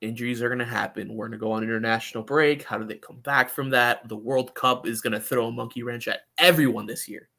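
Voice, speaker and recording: A man's voice: mid-range voice, steady tone, quick pace, creaky, few pauses, pushed and bright. A man hosting a sports podcast, chatting casually about soccer and often repeating words as he thinks aloud.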